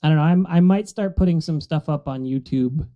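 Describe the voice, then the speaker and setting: A man's voice: a little high, brisk. A man chatting casually as a podcast panelist, recorded through a dull, lo-fi microphone. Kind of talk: casual chat.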